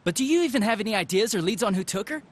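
A man's voice: high-pitched, animated, rapid-fire. A man playing a nervous, whiny young police detective in a video game, protesting in a flustered way. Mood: anxious, protesting.

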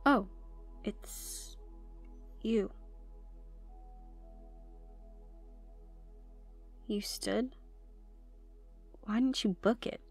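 Over background music comes a troubled young tomboy goth girl, acted by a woman in an ASMR roleplay. She is vulnerable and shy, speaking at a medium pitch with hesitant phrasing and hushed asides. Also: very slow, creaky, full character voice. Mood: guarded, surprised. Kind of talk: intimate ASMR roleplay acting.

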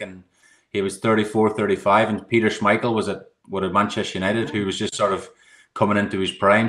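A middle-aged Irish man talks quickly in a fairly low voice, speaking to the hosts over a video call from a room at home. He rambles a little as he goes, repeating words and leaning on "you know". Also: fairly bright tone. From Ireland. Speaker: guest, goalkeeping coach. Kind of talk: casual interview answers.

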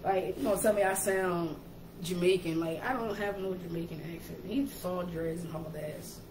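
A woman in her thirties with a New York accent, co-hosting a casual livestream chat in an animated, slangy way. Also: fairly low pitch. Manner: animated, slangy, quick asides. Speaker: page admin and co-host. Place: indoor kitchen. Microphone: camera or laptop mic. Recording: echoey kitchen with background noise.

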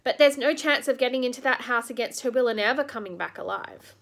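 A woman voicing a gentle, motherly female beaver in a storybook, speaking in a soothing tone. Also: a little high, expressive, fast talker, creaky.

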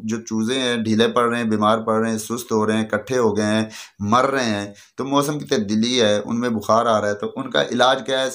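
A man's voice: lowish voice, fairly bright tone. A man in his thirties with a Pakistani accent, giving informal instructions to camera and repeating his points step by step. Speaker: poultry channel host. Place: small furnished room indoors.

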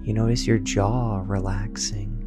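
A meditation guide narrating a guided sleep meditation in a soothing, hushed voice with long, unhurried pauses. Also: deep voice, level tone. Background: meditation music with singing bowl tones.

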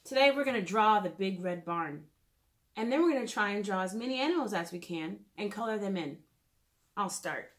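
A woman in her thirties reading aloud and leading a drawing lesson for preschoolers in a clear, simple storytelling manner, recorded in a room at home. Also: mid-range voice, animated, a little fast. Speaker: children's educator reading and drawing. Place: small room at home, indoors.

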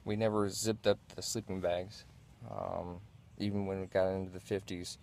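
A man in his thirties giving a casual, unscripted gear review in a low-key, halting way inside a small tent. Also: little pitch movement, creaky, a little mellow, slight background noise.

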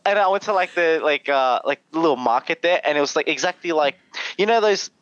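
Young Aussie man, guest on a call, slightly high voice, dry dull headset sound. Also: lively intonation, fairly quick, fairly bright tone, smooth, no echo. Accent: Australian. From Australia. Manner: chatty, laughs often, many "like"s. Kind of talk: casual video-call interview. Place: small bedroom indoors. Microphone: headset mic on video call.

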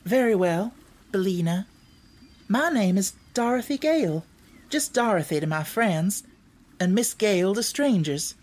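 A woman's voice: mid-range voice, animated, unhurried, darkish tone, voice pushed up. A woman voicing an earnest, outspoken young country girl in a storybook, speaking emphatically. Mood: earnest, friendly. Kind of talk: storybook character voice.

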